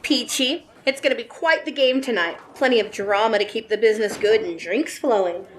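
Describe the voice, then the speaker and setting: An actress playing a playful, flirty 1950s barmaid in a noir radio drama, teasing and chirpy. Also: female voice, a little high, fairly quick, a little hiss or hum, natural voice.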